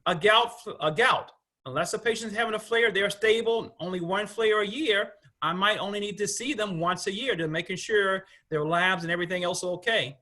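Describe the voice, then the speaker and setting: A man gives a medical lecture in a high, bright voice. He talks loosely and conversationally, restarting phrases and slipping into short quoted remarks as he moves through his slides. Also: normal speed. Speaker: rheumatologist giving a lecture. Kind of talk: conference lecture over slides.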